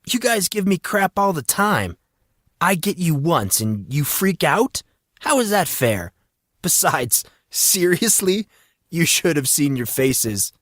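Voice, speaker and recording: A cheeky teenage boy from an audio story, acted by a man, pitched well up. He talks in slangy, theatrical protests, like a born prankster. The sound is dry and close. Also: very animated, takes it slowly, fairly bright tone, no room sound, heavily acted. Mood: amused protest. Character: teenage schoolboy, dramatic prankster, whiny and cheeky.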